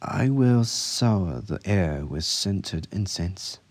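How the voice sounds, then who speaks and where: A man's voice: low-pitched, lively intonation, slow pace, darkish tone, dry recording, heavily acted. A man voicing a firm, earnest female healer with a formal, insistent manner in an audiobook story. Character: earnest, firm healer sister, a woman of authority.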